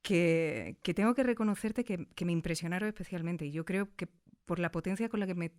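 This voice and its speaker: Woman doing an interview, slightly low voice, emphatic and effusive. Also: brisk, darkish tone. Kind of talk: conversational interview. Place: recording studio.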